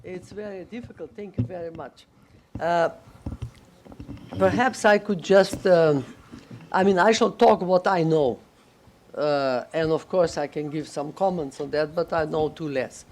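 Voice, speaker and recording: A woman speaking English as a non-native speaker on a conference panel, halting and searching for words, with many fillers. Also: low voice, darkish tone. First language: neither English nor Russian. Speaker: panelist, head of a management school association. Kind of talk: panel discussion remarks.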